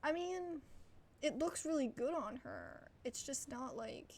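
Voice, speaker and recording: High, creaky-voiced woman streamer. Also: very animated, measured pace, darkish tone. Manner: chatty, informal, thinking aloud. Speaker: game streamer. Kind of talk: casual let's-play commentary.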